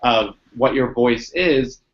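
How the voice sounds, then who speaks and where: A man moderating a casual group discussion, relaxed and hesitant as he reads out chat comments. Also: mid-range voice, slow. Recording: dull, muffled audio.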